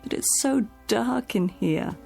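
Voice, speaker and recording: A private nurse in a radio drama, a woman who is protective and solicitous. Her delivery is animated, swinging in pitch, with some creak in the voice and a firm, brisk manner. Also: soft background music, full character voice.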